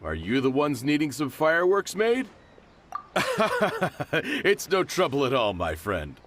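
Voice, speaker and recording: A man's voice: on the high side, huge pitch swings, slow pace, a bit bright, slight background noise, full character voice. A man voicing a genial middle-aged merchant and father in an English video game, hearty and self-deprecating. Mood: warm, grateful.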